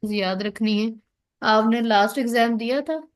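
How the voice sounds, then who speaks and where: A woman's voice: fairly high pitch, unhurried. A woman with a Pakistani accent giving an explanatory lesson as a lecturer, often checking understanding and answering her own questions. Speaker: law lecturer. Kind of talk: explanatory online lesson, reading out and explaining legal text.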